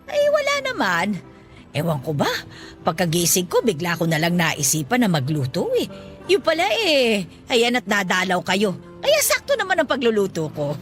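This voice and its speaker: A woman playing a warm, forgetful elderly grandmother in a Filipino radio drama, exclaiming and chuckling as she fusses. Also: mid-range voice, huge pitch swings, moderate pace, faint music behind. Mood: grateful, puzzled. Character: warm, teary, forgetful elderly grandmother who runs a store.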